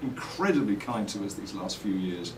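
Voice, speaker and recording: A middle-aged man with an English accent, giving reflective, articulate interview answers in measured phrases and telling anecdotes as a company owner and designer. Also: fairly low pitch, expressive. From England. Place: indoors at a trade show. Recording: roomy, distant mic, faint background noise.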